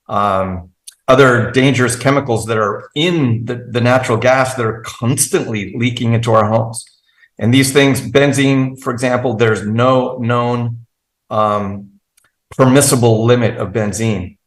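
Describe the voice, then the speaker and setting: A man's voice: mid-range voice, a bit bright, echoey room, rough recording. A man as an interview guest, explaining at length in an earnest, methodical way with frequent restarts. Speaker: guest expert.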